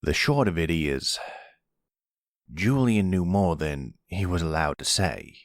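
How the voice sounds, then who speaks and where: Guy doing calm caring old grandpa head of family, super slow, low voice, gentle audio drama. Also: no echo, clean studio sound, heavily acted. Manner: measured, gentle, deliberate. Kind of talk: ASMR audio roleplay drama.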